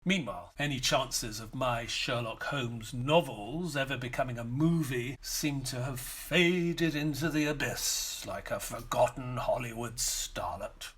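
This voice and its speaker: An actor playing a loyal, doubtful, long-suffering male companion and narrator in an audio play, measured and literate. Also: mid-range voice, a bit bright, slightly rough. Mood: measured, storytelling. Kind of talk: audio play acting and first-person narration.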